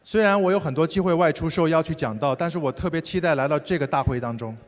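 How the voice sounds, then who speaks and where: A man renders a preacher's words very quickly and fluently, in a slightly high voice. His lines arrive in brisk bursts between the speaker's phrases. A large hall's long reverb surrounds him. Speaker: interpreter. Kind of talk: consecutive sermon interpretation. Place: large church hall. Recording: hall reverb.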